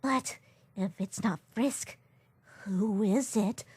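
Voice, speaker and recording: A woman's voice: mid-range voice, slow, a little mellow, breathy edge, no echo, studio quality. A woman voicing a nervous, stuttering female lizard scientist in a comic dub. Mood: anxious. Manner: stuttering, anxious. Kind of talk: fan comic dub acting.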